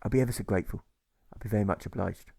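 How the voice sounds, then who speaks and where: A man voicing a humble, gentle peace preacher in an audiobook, courteous and pleading, repeating himself. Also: fairly low pitch, racing, vocal fry, slightly rough, dry recording. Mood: gently pleading.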